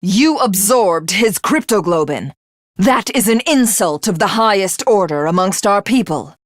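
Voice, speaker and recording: An actress playing a haughty, vengeful alien warlord in a video game, speaking in formal, mocking, theatrical lines. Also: female voice, mid-range voice, lively intonation, measured pace, dry recording, studio quality, close to an everyday voice. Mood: bored, disdainful. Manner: imperious, mocking, formal archaic diction. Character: haughty, vengeful alien warlord, a self-styled living god. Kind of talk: theatrical game villain monologue.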